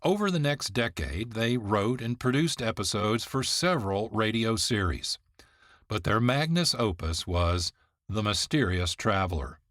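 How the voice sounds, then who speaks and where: A man's voice: on the low side, animated, measured pace, a bit bright, dry recording, clean studio sound. A man narrating the history of an old radio series as the host. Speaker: program host. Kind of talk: scripted narration.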